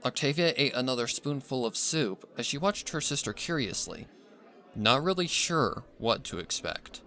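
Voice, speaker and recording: A man's voice: medium pitch, expressive, normal speed, sharp tone. A man reading scripted story narration as the narrator.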